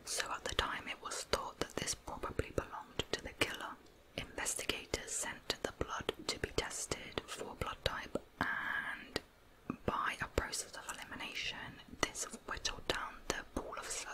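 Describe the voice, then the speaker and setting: A woman telling a true crime story as ASMR, in a soft, unvoiced whisper throughout. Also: low-quality recording.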